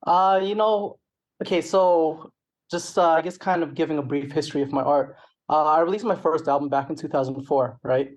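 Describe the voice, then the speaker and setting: A man's voice: on the high side, normal speed. A middle-aged man with a Canadian accent talking casually as an interview guest, rambling with many "like" and "you know" asides. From Toronto, Canada. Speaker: interview guest, rapper and songwriter.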